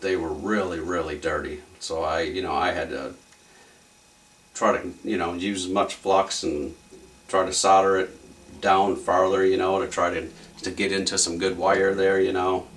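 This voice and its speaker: A man's voice: low voice, fairly bright tone. A man narrating a repair casually and off the cuff, thinking aloud in a folksy, rambling way. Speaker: electronics repair hobbyist demonstrating a fix. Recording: distant mic with faint background noise.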